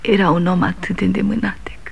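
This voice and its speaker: An actress playing a grieving middle-aged widow in a 1960s radio drama, speaking in a hushed, breathy whisper. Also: female voice, medium pitch, little pitch movement, dull audio. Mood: bewildered.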